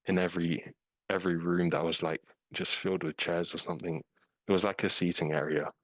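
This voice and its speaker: A man's voice: deep voice, dry recording. A man with a British accent, a scam investigator casually walking through a case in a webinar over a video call, loose with fillers. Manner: informal, uses fillers like "um" and "like". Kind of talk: casual walkthrough of a case with slides.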